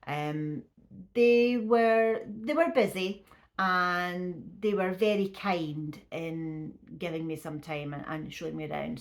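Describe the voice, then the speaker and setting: A woman in her fifties talks to her viewers in an easy, unhurried way, stopping and restarting with plenty of ums. Her voice sits low and is a little croaky. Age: 50s. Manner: chatty, with frequent restarts and ums. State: slightly croaky voice. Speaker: vlogger. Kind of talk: casual talking to camera.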